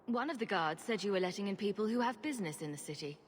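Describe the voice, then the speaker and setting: A woman's voice: mid-range voice, animated, fast talker, slight background noise, close to an everyday voice. An actress playing a determined, questioning refugee woman of good family in a video game, assertive and composed. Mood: insistent.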